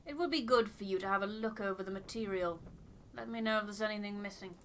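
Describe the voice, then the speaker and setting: A woman's voice: medium pitch, fast talker, fairly bright tone, slight background noise, lightly acted. A woman voicing a formal, self-important expedition researcher in a game, precise and fussy. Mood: fussy, earnest.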